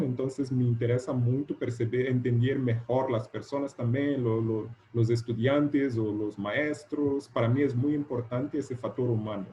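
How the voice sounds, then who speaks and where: A man whose native language is Portuguese, with that accent, giving an informal online presentation as a guest lecturer, haltingly repeating words as he searches for vocabulary. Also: medium pitch, dark tone, slight background noise, rough recording. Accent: Portuguese-speaker's. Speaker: guest lecturer, doctoral candidate. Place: video call.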